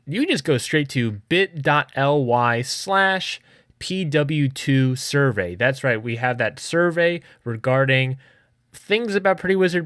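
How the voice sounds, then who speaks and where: A man's voice: fairly high pitch, fairly bright tone, dry recording, studio quality. A man hosting a podcast, addressing listeners casually in an emphatic, pleading way and often repeating himself for stress. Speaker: podcast host.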